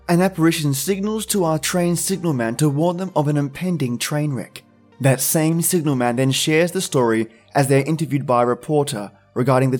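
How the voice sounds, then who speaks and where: A man's voice: medium pitch, darkish tone. A man hosting a podcast, giving a scripted introduction to an episode. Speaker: podcast host.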